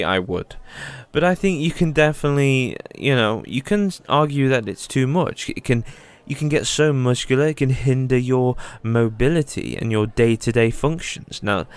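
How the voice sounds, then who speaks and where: A man's voice: medium pitch, clear, resonant tone, no room sound. A man talking casually and candidly in a rambling, sweary monologue, as if chatting one-on-one with a friend.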